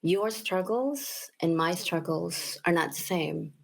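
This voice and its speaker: A woman from the Philippines with a Filipino accent, answering earnestly and in a measured way as a panelist in a discussion. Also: on the low side, gravelly.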